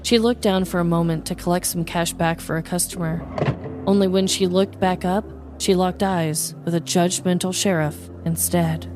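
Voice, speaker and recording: Lower-pitched American woman narrating. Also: steady tone, moderate pace, background noise. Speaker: podcast host and storyteller. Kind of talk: scripted comedic true-crime narration.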